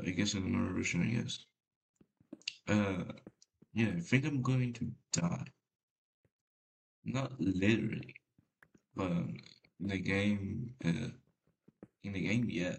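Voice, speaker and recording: A man whose first language is Spanish, giving casual, halting gameplay commentary with a deep voice and many fillers. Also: vocal fry, poor audio. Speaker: gamer.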